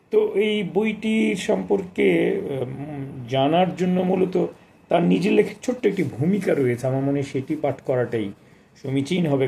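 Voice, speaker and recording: A man talks about books and reads from them. His voice is mid-pitched and notably mellow, his delivery formal and deliberate. A faint noise sits behind the recording. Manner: measured, deliberate, formal literary diction. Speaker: book reviewer and poetry reader. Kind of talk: literary book talk with read-aloud passages.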